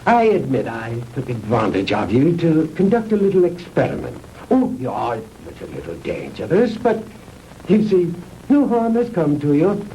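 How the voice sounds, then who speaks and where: Grandiose German mad scientist. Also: male voice, a little high, wildly swooping pitch, dark tone. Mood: self-assured. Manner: grandiose, condescending, deliberate. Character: mad Nazi scientist, proud, theatrically courteous, fanatical. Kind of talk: 1960s B-movie acting. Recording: noisy old 1960s film soundtrack.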